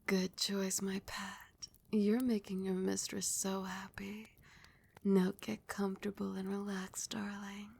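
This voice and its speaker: A woman playing a doting, dominant, seductive vampire queen in a soft, breathy, often whispered ASMR roleplay, with slow, soothing endearments. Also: mid-range voice, flat delivery, full character voice.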